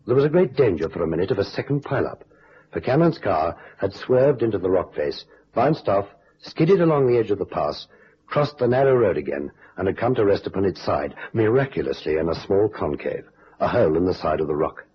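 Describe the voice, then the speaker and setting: An actor playing the wry storyteller of an old-time detective radio drama, narrating with dry, dramatic timing. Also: male voice, deep voice, very animated, a little fast. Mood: dry suspense. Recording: dull vintage radio recording, faint hiss.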